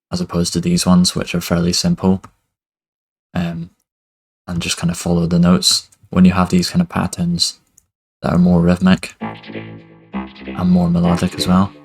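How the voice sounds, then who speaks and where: A young man giving a relaxed music production tutorial at his desk, talking loosely with lots of fillers. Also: deep voice, vocal fry, husky. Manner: relaxed, many fillers like "kind of" and "basically". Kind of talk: casual screen-recorded tutorial.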